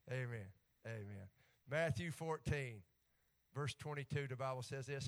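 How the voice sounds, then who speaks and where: A man's voice: mid-range voice, a bit bright. A man with an Appalachian accent preaching a sermon in a folksy, joking way that rises to fervent emphasis when he reads scripture.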